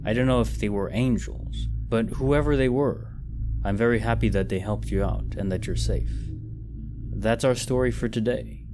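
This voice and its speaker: A man with a slightly low voice delivers scripted storytelling narration. His manner is measured and reflective, like a host guiding listeners through a tale. Faint music plays behind him. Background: background music.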